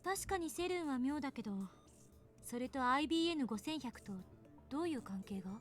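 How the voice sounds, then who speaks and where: A woman playing a sharp-minded, sceptical young woman in a visual novel, questioning and curt. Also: high voice, expressive, racing. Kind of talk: Japanese visual novel voice acting. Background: game music.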